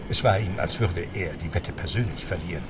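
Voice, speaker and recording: A man's voice: low voice, fairly quick, background noise. A man narrating a radio play in a scripted storytelling style. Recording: music behind the narration.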